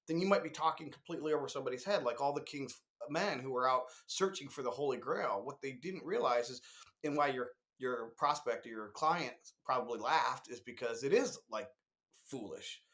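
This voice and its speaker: A man's voice: mid-range voice, brisk, fairly bright tone. A man with a mid-range voice chats as co-host of a business podcast. He explains with emphasis and slips into imagined quotes to illustrate his points. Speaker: podcast co-host discussing marketing. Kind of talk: conversational business podcast discussion.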